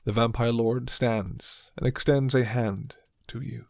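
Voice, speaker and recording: Slow-paced male ASMR story narrator. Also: medium pitch, fairly even intonation, gravelly, darkish tone, no room sound.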